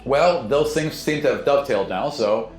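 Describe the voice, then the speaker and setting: A man's voice: mid-range voice, normal speed, soft background music. A man running a tabletop game as game master, narrating and replying casually. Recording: echoey room, clear background noise.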